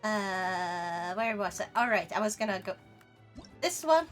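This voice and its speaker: A woman's voice: mid-range voice, animated, measured pace, faint music behind. A young woman with a Swedish accent, chatting playfully with her viewers while streaming a game. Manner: chatty and playful, talking to chat while playing. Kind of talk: casual gaming livestream chat.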